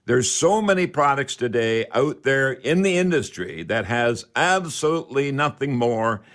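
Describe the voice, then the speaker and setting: A man's voice: medium pitch, lively intonation, unhurried, fairly bright tone. A man hosting a live call-in radio show in a folksy, repetitive patter.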